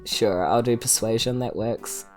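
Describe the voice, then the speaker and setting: A woman with a New Zealand accent chatting and improvising as a player in a tabletop game, playful and deadpan. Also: a bass voice, measured pace, faint music behind. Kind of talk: casual improvised roleplay chat.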